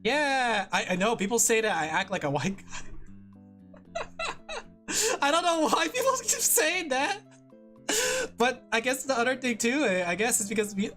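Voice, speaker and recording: A man's voice: sits high, expressive, unhurried, a bit bright, faint music behind. A man streaming as a vtuber, chatting casually with his viewers while drawing, rambling, laughing often and putting himself down.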